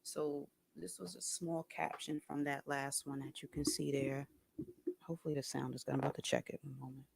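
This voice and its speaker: A soft-voiced man talking casually to camera, hesitant, with many ums and restarts. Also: a little high, flat delivery, a little fast, vocal fry, husky, dry recording. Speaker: video creator.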